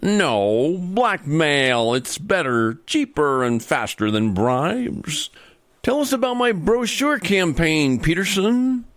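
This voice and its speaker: An actor playing a smug, corrupt, middle-aged senator in a comedy radio play, boastful and pompous. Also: male voice, a little high, big pitch swings, slow, fairly bright tone, no echo, clean studio sound, exaggerated voice. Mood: gloating. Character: smug, corrupt veteran male senator, scheming and self-satisfied. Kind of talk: comedy radio theater acting.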